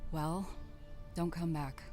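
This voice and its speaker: A woman's voice: sits low, steady tone, takes it slowly, mellow, faint music behind. A curt lone woman warrior. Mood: solemn. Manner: curt, guarded, solemn. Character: lone weary woman warrior guarding the woods. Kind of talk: animated series voice acting.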